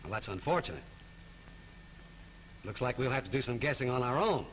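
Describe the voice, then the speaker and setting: A man's voice: medium pitch, lively intonation, a little fast, a bit bright, natural voice, low-quality recording. A man playing a wry, skeptical homicide lieutenant. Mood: sardonic. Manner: dry, sardonic questioning. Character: sardonic male police lieutenant, wry and skeptical. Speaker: homicide detective. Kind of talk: 1930s film acting. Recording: hissy 1930s film soundtrack.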